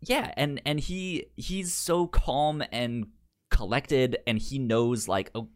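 A man co-hosting a casual film discussion, speaking haltingly and often repeating syllables and words. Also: medium pitch, clear, resonant tone. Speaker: podcast co-host.

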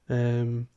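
A man's voice: mid-range voice, warm tone, muffled recording. A man co-hosting a podcast, chatting casually about a video game with a chuckling, self-deprecating manner. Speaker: podcast co-host.

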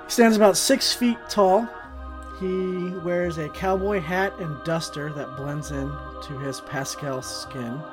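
A man's voice: on the high side, slow. A young man answering interview questions casually as a player describing his fantasy game character. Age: young adult. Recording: music behind the voice. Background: background music.

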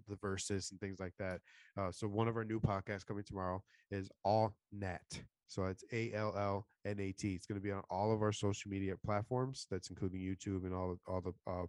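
A man's voice: low voice, dry recording. A man hosting a casual podcast, upbeat and promotional, losing his voice after being sick. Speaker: podcast host.

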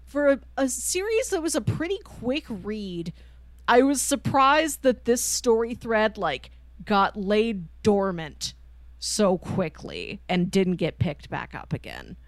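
A woman's voice: mid-range voice, big pitch swings, takes it slowly, dry recording. Casual woman podcaster, dry close sound. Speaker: co-host of a manga discussion show. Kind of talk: casual book discussion with spoilers.

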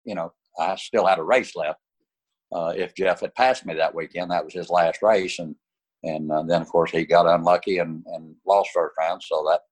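A man's voice: low-pitched, steady tone, moderate pace, breathy edge, low-quality recording. An older man, a racing champion guest, answering interview questions in a folksy way, dropping "you know" often. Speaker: guest racer.

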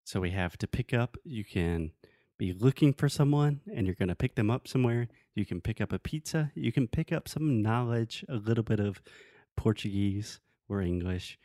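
A man co-hosting a podcast, teaching English phrases in a relaxed, teasing way, with patient examples. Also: lowish voice, normal speed, no room sound, studio quality. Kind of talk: casual teaching chat.

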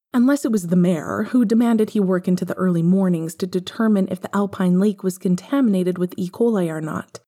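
A woman's voice: mid-range voice, dark tone. A woman reading a story aloud in a wry, conversational narrating style. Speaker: podfic reader. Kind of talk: expressive scripted fiction narration.

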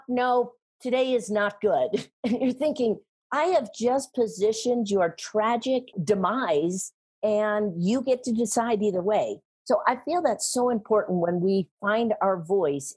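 A middle-aged woman with a slightly husky voice talks about sales with animated emphasis and frequent laughter. Her pitch sits in an ordinary middle range. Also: measured pace. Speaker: guest, sales trainer and speaker. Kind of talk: conversational interview.

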